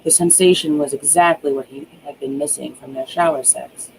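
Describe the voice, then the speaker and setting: An author reading their own horror thriller aloud as dramatic narration, drawing out key words with hushed emphasis. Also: medium pitch, steady tone, moderate pace, creaky, slight background noise, poor audio.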